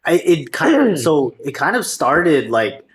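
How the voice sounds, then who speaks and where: A man's voice: a little high, big pitch swings, a little slow, a little hiss or hum. A man in his twenties speaking as a podcast guest, explaining things in an informal, reflective way. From Filipino American. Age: 20s. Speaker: podcast guest, streetwear brand founder. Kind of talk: casual interview, telling his story.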